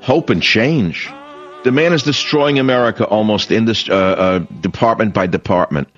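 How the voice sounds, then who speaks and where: A man's voice: on the low side, moderate pace, faint music behind. An older man hosting a talk radio show, emphatic and opinionated, often restarting his sentences. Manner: emphatic, repeats himself, many restarts. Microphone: studio microphone. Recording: dull, dry studio broadcast sound.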